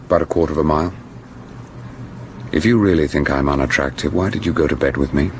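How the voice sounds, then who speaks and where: A man's voice: very deep voice, fast, slight background noise. A man acting a persistent, knowing character in a radio drama. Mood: casual, probing. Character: persistent, knowing British man playing city guide.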